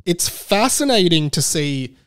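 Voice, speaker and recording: A man's voice: high voice, very animated, unhurried, a bit bright. A man with an Australian accent hosting a podcast solo, talking casually in an enthusiastic, rambling way. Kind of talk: casual chatty monologue.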